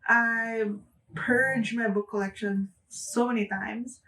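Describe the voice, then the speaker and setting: A woman's voice: fairly high pitch, fairly even intonation, slow, sharp tone, far from the mic, rough recording. A young woman from the Philippines with a Filipino accent, chatting casually to camera and often saying "like". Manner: chatty, frequent "like" fillers, light laughs. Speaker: booktuber.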